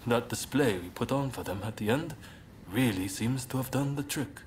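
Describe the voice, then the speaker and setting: A man playing an earnest, soft-hearted samurai leader in a video game, speaking in measured, polite, formal phrases. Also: mid-range voice, slight background noise, close to an everyday voice. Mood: humble, reflective. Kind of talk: video game cutscene acting. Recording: game audio with clear background ambience.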